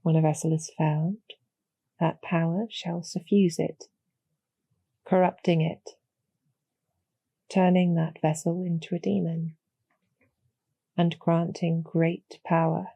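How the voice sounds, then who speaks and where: A woman voicing a calm, knowing succubus demoness in an intimate audio roleplay, speaking in a measured, softly persuasive way with a low, breathy, gravelly voice. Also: no room sound, exaggerated voice. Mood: solemn, coaxing. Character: calm, knowing demoness succubus archer, former hero, loyal to her queen.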